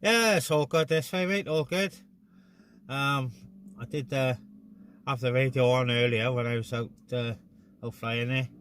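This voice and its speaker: A man with a bright, forward voice chats away in a matey, jokey manner, like a hobbyist on the radio. He is outdoors, with some vehicle and ambient noise behind him. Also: mid-range voice, fairly even intonation, measured pace, pauses often. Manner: chatty, matey, joking exaggeration. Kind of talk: casual radio chat while filming outdoors. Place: outdoors on a busy mountain top.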